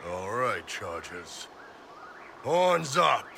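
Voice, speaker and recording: A huge horned warrior captain talks low and with hard, forceful edge. He is blunt and commanding, every inch the gruff mercenary boss of a fantasy game. A faint bed of noise runs underneath. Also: sing-song, drawn-out words, heavily acted. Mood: rousing, gruff. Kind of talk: video game cutscene acting.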